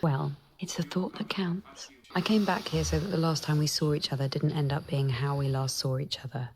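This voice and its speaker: An actress playing a cool, sardonic geophysicist with a dry, cutting way of speaking in a radio drama. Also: female voice, sits low, steady tone, normal speed, darkish tone.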